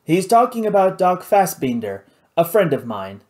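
Man as nonchalant roguish pirate pilot, lively delivery. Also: high-pitched, normal speed, voice pushed up. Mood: placating. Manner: dry, teasing, nonchalant. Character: unflappable roguish male pirate pilot, slyly evasive.

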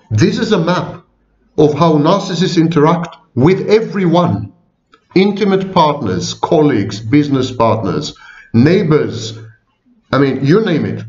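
A man's voice: a little high, a little mellow. A man of sixty, a psychology professor, giving a wry, digressive informal lecture to viewers, often repeating and correcting himself. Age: 60s. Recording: echoey room, dull lo-fi sound.

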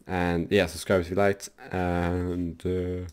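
A man hosting a casual, loose software tutorial for his gaming channel, rambling with lots of fillers. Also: low-pitched, level tone, takes it slowly, smooth.

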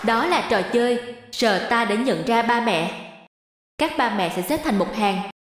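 A woman's voice: fairly high pitch, expressive, a little slow, very echoey, in a large hall. A woman voicing a cheerful primary school teacher politely hosting an event in a cartoon. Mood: cheerful, courteous. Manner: polite, clearly presenting. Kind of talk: animated fairy-tale dubbing.

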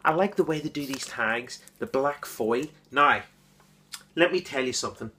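A man giving an enthusiastic, casual beer review, repeating words and filling pauses with ums. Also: medium pitch, lively intonation, pushed and bright, slight background noise.

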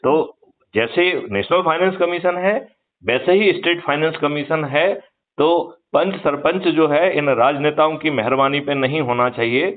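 Older male activist on a narrow-band phone recording, higher-pitched voice, emphatic, lecturing delivery that seeks agreement. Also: unhurried. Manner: emphatic, lecturing, seeking agreement with rhetorical questions. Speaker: activist, retired police officer. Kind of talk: persuasive political talk on a phone call. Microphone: phone call.

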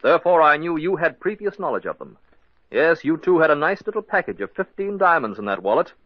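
Smug shrewd customs cop on an old radio show, lively and folksy, muffled sound. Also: male voice, fairly high pitch, brisk, dry recording. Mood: suspicious, triumphant. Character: shrewd, wry male customs officer, smugly confident. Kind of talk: old-time radio drama acting. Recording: dull, lo-fi old radio recording.

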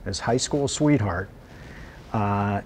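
A middle-aged man giving measured recollections in a documentary interview. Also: fairly low pitch, a little hiss or hum. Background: soft music.

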